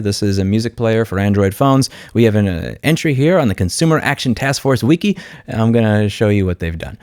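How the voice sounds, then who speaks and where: A man's voice: mid-range voice, darkish tone, no room sound, clean studio sound. A man hosting an informal commentary video, speaking in a blunt, sardonic and opinionated way. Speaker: video host, consumer rights commentator.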